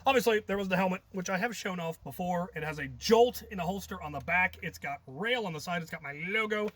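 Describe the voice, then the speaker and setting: A man's voice: high-pitched, quick pace, a bit bright. A man telling stories in a casual, rambling vlog style, thinking aloud with a jokey, self-deprecating manner. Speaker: hobby video creator and host.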